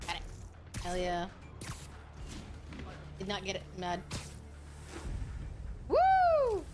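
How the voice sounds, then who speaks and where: A young woman streaming a game, chatting casually with viewers, excitable and swearing freely as she plays. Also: mid-range voice, very slow, music in the background. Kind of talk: casual gameplay commentary.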